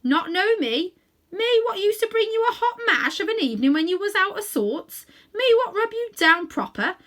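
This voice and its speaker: A woman reader acts out a working-class cabbie man. He is a kindly, plain-spoken storybook fellow who talks in chatty, emphatic bursts, high in pitch and very animated. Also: moderate pace, exaggerated voice. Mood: hurt, indignant. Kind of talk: children's storybook character reading.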